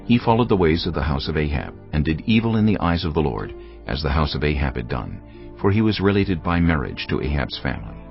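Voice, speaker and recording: A man's voice: a bass voice, big pitch swings, brisk, gravelly. A man narrating scripture solemnly for a dramatized audio production. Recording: dull lo-fi audio with music.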